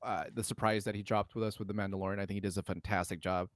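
A man's voice: on the low side, level tone, very fast, no room sound. A man co-hosting a podcast, talking casually and enthusiastically in emphatic, slangy phrases with some swearing. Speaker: podcast co-host. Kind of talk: casual conversational film and game chat.

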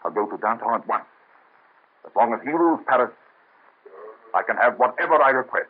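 An actor playing an earnest, anguished young physician in an old radio drama. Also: male voice, mid-range voice, lively intonation, brisk, breathy edge. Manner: earnest, melodramatic. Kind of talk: 1930s radio drama acting. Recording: tinny old radio recording.

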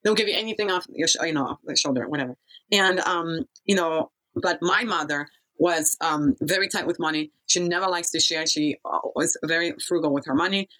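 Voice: medium pitch, brisk, bright, forceful tone, poor audio. A person from Kazakhstan telling a life story in a casual interview, rambling and often losing the thread.

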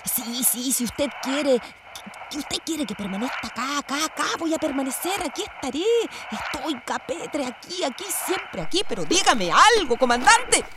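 A woman's voice: high-pitched, expressive, normal speed, very noisy. An actress playing a harried, distracted ex police officer in a radio drama, flustered and curt, repeating her words. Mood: anxious, obedient. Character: harried, distracted, anxious woman, former police commander.

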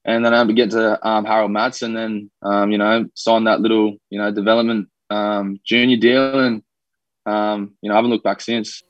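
A man's voice: fairly low pitch, little pitch movement, normal speed, no room sound, muffled recording. A young man with an Australian accent, a rugby league player chatting in a laid-back, rambling way with lots of fillers. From New Zealand, raised in Australia. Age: young adult. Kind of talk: casual podcast interview.

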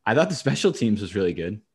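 A man's voice: fairly low pitch, sing-song, a little fast, slightly rough. A man co-hosting a sports podcast in casual banter, with a dry, wry, profane way of talking.

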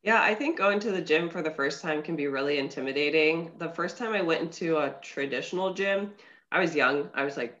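A woman's voice: deep voice, fairly quick, a bit bright, lo-fi sound. A young woman hosting a podcast in casual chat, her voice congested from a cold. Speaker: podcast host.

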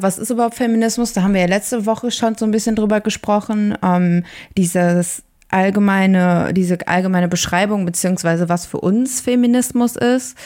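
A woman's voice: medium pitch, darkish tone. A young woman in her twenties co-hosting a podcast, chatty and casual with frequent fillers. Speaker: podcast co-host.

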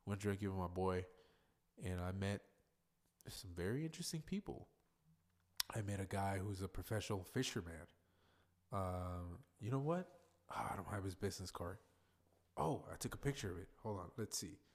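A man's voice: low-pitched, expressive, normal speed, pauses often. A man hosting his own podcast, talking alone in a loose, rambling way with some swearing; he is congested and sounds nasal. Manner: rambling, profane, loose and chatty. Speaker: podcast host. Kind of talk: casual solo podcast monologue.

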